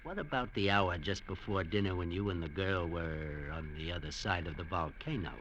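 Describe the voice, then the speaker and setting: Bright-voiced, sardonic island painter. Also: male voice, low-pitched, moderate pace, dull audio. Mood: teasing. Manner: dry, needling, theatrical. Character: sardonic, teasing male painter living on a resort island. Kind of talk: vintage radio drama acting. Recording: old radio recording with faint noise.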